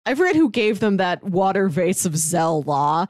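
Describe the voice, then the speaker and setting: A woman's voice: lowish voice, very animated, normal speed, a little mellow, no room sound, studio quality. A woman co-hosting a podcast in casual discussion, talking in an engaged, playful, conversational way. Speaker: podcast co-host. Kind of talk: casual comic book discussion.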